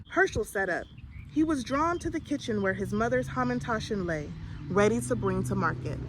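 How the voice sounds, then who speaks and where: A woman reading a children's storybook aloud in an expressive way. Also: fairly high pitch, slight background noise, rough recording. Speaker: storybook reader.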